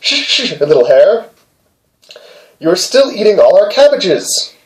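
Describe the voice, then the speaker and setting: A man's voice: high voice, big pitch swings, a little slow, voice pushed up, low-quality recording. A man voicing a young storybook maiden who shushes and scolds plaintively. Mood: plaintive scolding. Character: young maiden scolding a cabbage-eating hare.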